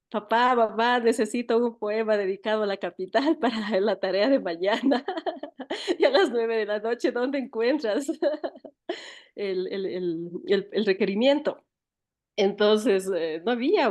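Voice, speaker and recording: A woman's voice: medium pitch, a little mellow. A woman with an Ecuadorian accent telling stories as an interview guest, often searching for her words and repeating them. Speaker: interview guest, writer and teacher. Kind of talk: conversational interview storytelling.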